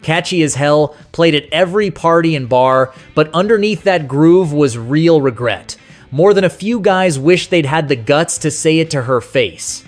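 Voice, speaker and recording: A man's voice: on the high side, expressive, normal speed. A man narrating a scripted music countdown as its host, in a nostalgic, colloquial storytelling manner. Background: soft rock music behind the voice.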